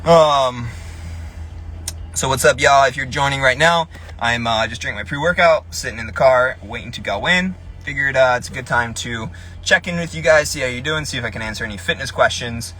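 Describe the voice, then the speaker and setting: A man's voice: medium pitch, a little fast, pushed and bright. Chatty young man live-streaming from his car. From Tennessee. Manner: chatty, rambling with frequent ums and restarts. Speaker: fitness influencer, personal trainer. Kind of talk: casual live-stream chat. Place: parked car.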